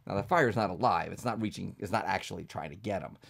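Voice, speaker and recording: Very gravelly, husky male storyteller. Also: low voice, fast talker. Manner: dramatic, repetitive build-up, hushed to emphatic. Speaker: pastor and storyteller. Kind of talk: children's bedtime storytelling.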